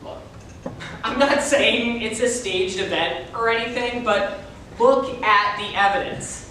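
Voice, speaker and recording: A woman's voice: fairly high pitch, slow, fairly bright tone. Woman doing an insistent conspiracy theorist, echoey hall. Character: insistent, defensive conspiracy theorist arguing footage is faked. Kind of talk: live reader's theater performance. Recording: distant, echoey room with faint noise.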